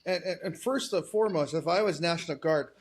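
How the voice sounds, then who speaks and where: A man in his forties holds forth in a loose podcast conversation. His voice sits a little high, and he talks bluntly, swears freely and keeps restarting his sentences. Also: a little fast, noisy background. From United States. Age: 40s. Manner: rambling, restarts often, blunt and profane. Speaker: podcast co-host. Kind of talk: casual political chat.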